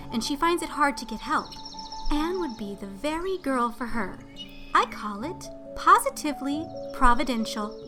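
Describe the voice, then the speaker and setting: A woman playing a fussy, bustling, chatty small-town housewife who gushes and exclaims, in a dramatized storybook. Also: high voice. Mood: persuasive. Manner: effusive, exclamatory, gushing. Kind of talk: dramatized audiobook acting.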